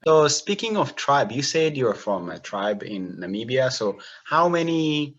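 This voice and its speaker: Slow, playful male host. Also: mid-range voice, sing-song, fairly bright tone, dull audio. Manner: playful, jokes and teases. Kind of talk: casual interview conversation.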